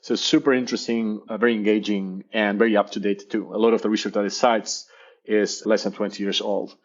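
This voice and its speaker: A man's voice: lowish voice, little pitch movement, a little fast, muffled recording. A man with an Argentinian Spanish accent, a native Spanish speaker, talking in a casual interview, enthusiastic and often repeating words as he searches for them. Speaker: guest, professor.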